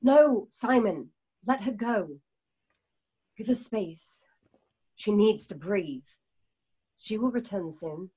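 A woman playing a stern but caring combat instructor in a radio drama, firm and measured, over a muffled call. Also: medium pitch, mellow, slightly rough. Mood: gentle, resigned. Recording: dull, muffled video-call audio.

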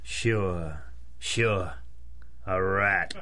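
Wary veteran male crook, pulp radio style: lowish, dry, terse, tough. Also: extremely slow, pushed and bright, full character voice. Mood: suspicious, tough. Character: wary, hard-bitten male bank robber, dryly cynical. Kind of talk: 1930s-style radio drama acting.

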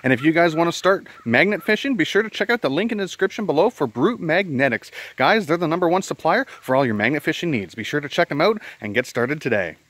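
An upbeat man in his thirties talks straight into a handheld camera held at arm's length, out in the open air on a country bridge. His voice sits a little high for a man, and his chatter is easygoing and friendly. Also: expressive, fast, hardly any pauses, a bit bright. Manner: chatty and upbeat, addresses viewers as guys. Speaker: magnet fishing vlogger. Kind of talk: casual outdoor vlog to camera. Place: outdoors on a rural bridge over a creek.

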